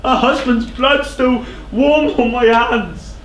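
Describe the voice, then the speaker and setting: Man acting an anguished, high-voiced king, echoey stage. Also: a bit bright, full character voice. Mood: anguished confession. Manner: declamatory, halting, overwrought. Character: anguished king, haunted by guilt and dread. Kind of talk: classical tragedy stage acting. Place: indoor theatre stage. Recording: echoey theatre stage, clear background noise.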